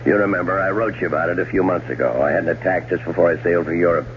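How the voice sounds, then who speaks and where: A man's voice: low-pitched, very fast, natural voice. An actor playing a scheming, sardonic middle-aged American man in a radio drama, on a muffled, noisy old broadcast. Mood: jovial, sardonic. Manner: sardonic, theatrical. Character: scheming, wry, well-off man plotting murder. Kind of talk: 1970s radio drama acting, first-person narration and dialogue.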